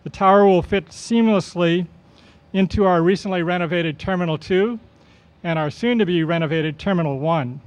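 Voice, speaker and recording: A man with a high, smooth and resonant voice addresses a public ceremony as its host. His delivery is formal and measured, with slightly halting phrasing, like an official reading prepared remarks. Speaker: airport official hosting a ceremony.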